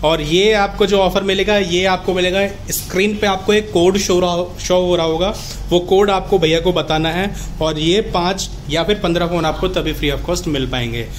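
Male video host, slightly high voice, casual sales chat, light room noise. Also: moderate pace. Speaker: video host interviewing a phone dealer. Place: small office shop, behind the camera.

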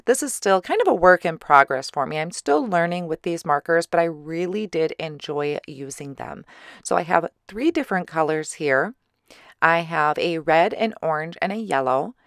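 A woman giving a chatty voice-over for a card-making tutorial, her voice slightly hoarse. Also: low-pitched, normal speed, no echo, clean studio sound. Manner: relaxed, chatty explaining with small fillers. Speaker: card-making channel host. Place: recorded separately as voice-over.

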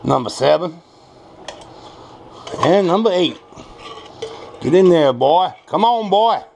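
A man's voice: fairly high pitch, expressive, slow, a little mellow. A man with an American accent gives a casual, off-the-cuff home cooking demonstration, folksy and salty-tongued, often repeating himself. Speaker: home cook presenting a recipe. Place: small home kitchen at the stove. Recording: clear kitchen noise, pan and utensil clatter. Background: frying, stirring, clinking dishes.

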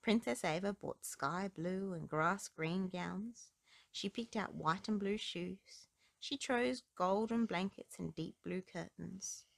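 A woman's voice: medium pitch, slow, gravelly, dry recording. A woman narrating children's stories and rhymes aloud as a storybook reader, recorded close up indoors.

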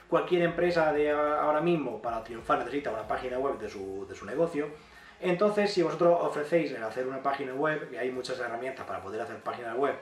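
A man's voice: fairly high pitch, reverberant, distant mic. A man in his thirties with an accent from Spain, giving chatty, emphatic money-making advice straight to the camera as a YouTuber. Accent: Spanish from Spain. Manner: chatty, emphatic, gestures a lot. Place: small indoor room.

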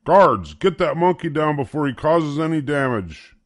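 A man's voice: fairly high pitch, very animated, normal speed, pitched above the performer's own voice. A man voicing a blustering, bossy museum director in a storybook, emphatic and commanding. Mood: indignant.

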